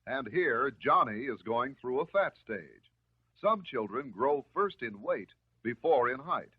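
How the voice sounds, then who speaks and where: An American man delivering scripted narration in the style of a 1950s educational film, measured, instructive and reassuring. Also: mid-range voice, animated, slightly rough. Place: voice-over recorded for film. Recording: muffled, narrow-band 1950s film soundtrack.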